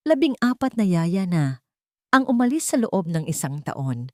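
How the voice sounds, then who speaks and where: Woman doing a worn-out boss dad, cold and strict, quietly commanding, story narration. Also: fairly low pitch, sing-song, a little slow, no room sound, clean studio sound. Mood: stern weary. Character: weary, cold, authoritative widowed businessman father.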